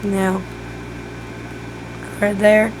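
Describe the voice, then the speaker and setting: A woman walks through a game build in a relaxed, think-aloud way, her voice worn and going hoarse. She speaks in an even, level tone with little rise and fall. A steady noisy hiss sits under the recording. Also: medium pitch, drawn-out words. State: losing her voice. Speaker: gaming tutorial creator. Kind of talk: casual step-by-step game tutorial.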